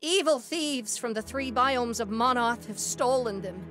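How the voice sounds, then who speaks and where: A man voicing a small, anxious, theatrical creature who keeps magic books in an animated game. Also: very high-pitched, unhurried, music in the background. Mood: grandly earnest. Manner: theatrical, flustered, grandiose. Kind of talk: animated game cutscene voice acting.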